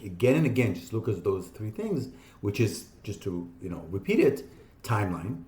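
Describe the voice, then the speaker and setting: A man in his fifties from Israel, a podcast guest explaining his ideas in an animated, conversational way. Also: fairly low pitch. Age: 50s. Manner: animated, explaining with quick asides and laughs. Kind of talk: casual interview talk. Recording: echoey room.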